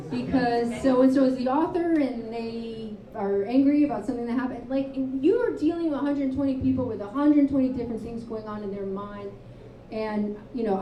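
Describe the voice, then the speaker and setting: A woman's voice: on the high side, a little hiss or hum. Candid woman on a live panel. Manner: candid, storytelling, animated asides. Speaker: author and advocate. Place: live event venue, indoors. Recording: roomy, distant, dull live-room sound.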